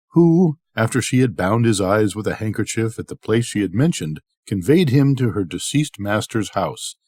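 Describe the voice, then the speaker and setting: A man's voice: fairly low pitch, darkish tone, breathy edge, dry recording, studio quality. An older man reading a bedtime story aloud as a storyteller.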